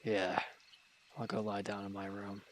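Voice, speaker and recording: A man playing a wounded, irritable villain in an audio roleplay, gruff and curt but grudgingly caring, with an exasperated, grumbling delivery. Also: lowish voice, completely flat delivery, a little slow, slight background noise, close to an everyday voice. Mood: pained, grumbling. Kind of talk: scripted audio roleplay acting.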